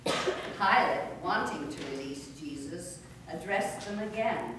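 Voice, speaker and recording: A woman narrating a scripture reading during a church service in a measured, formal way. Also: on the low side, expressive. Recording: echoey church, distant mic, background noise.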